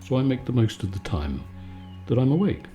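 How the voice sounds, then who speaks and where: A man giving a calm, soothing, conversational bedtime chat in a relaxed ASMR style. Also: medium pitch, brisk, soft background music. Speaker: video maker and host.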